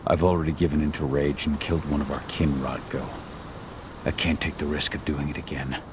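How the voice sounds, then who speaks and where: A brooding werewolf talks in a very low voice over clear background noise. He is terse and earnest, a guilt-ridden protector in a dramatic video game. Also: little pitch movement, fairly quick. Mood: pained, resolute.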